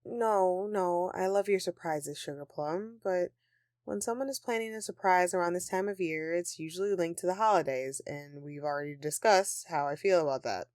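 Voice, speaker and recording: A woman's voice: fairly low pitch, moderate pace, a little mellow, clear, resonant tone, no echo, studio quality, lightly acted. A woman playing a grumpy but affectionate Christmas elf in an intimate audio roleplay, with a sarcastic, dramatic, sighing delivery. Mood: sheepish, tender.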